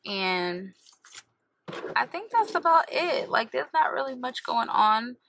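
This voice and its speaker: A woman's voice: on the high side, big pitch swings, slow, creaky, background noise. A woman in her thirties chatting casually to camera from a car's passenger seat, often correcting herself as she talks, recorded on a camera held at arm's length. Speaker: vlogger. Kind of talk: casual vlog chat to camera. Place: inside a car, passenger seat.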